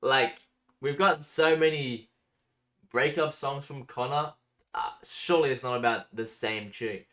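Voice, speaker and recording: A man's voice: medium pitch, unhurried, fairly bright tone. A man hosting a music reaction video, talking casually and enthusiastically with lots of fillers. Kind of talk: casual reaction commentary over a song.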